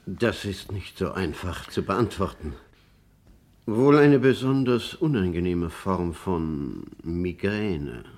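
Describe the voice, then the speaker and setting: A family physician in a radio crime drama. His low, slightly rough voice is formal, soothing and measured, with old-fashioned courtesy. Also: animated, darkish tone, full character voice. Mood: reassuring, courteous. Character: reassuring, formal male family doctor. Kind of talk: German radio crime play acting.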